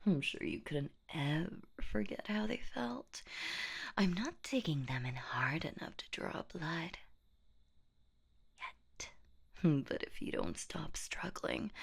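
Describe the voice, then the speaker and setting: A woman voicing a sadistic, playful boogeywoman in a horror audio roleplay, whispering in a husky, teasing, menacing and mock-sweet way. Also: full character voice. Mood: threatening, pleased. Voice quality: breathy, husky whisper with creaky low notes. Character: sadistic, playful female boogeywoman monster hunting humans.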